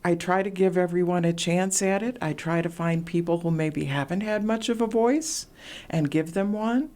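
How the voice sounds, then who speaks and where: A middle-aged woman, a radio host talking as a guest and sharing stories in relaxed conversation, with fillers. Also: on the low side, normal speed. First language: Latvian. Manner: warm storytelling, reflective, frequent fillers. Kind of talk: casual interview chat.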